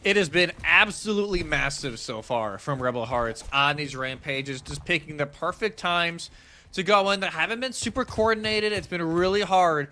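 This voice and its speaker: A man's voice: fairly high pitch, moderate pace, sharp tone. A man doing live play-by-play commentary on an esports match. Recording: clear background noise.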